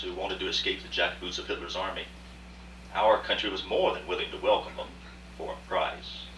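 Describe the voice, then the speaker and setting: Man acting as genteel aging art dealer, sharp-edged, distant. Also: lowish voice. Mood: reflective. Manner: formal, courtly, deliberate storytelling. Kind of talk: radio play acting, rehearsed dialogue. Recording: distant, echoey room with faint noise and hum.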